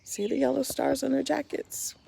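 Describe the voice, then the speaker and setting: A woman reading a children's story aloud in an expressive, simple storytime manner, talking directly to young listeners. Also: high-pitched, normal speed, vocal fry, a little hiss or hum.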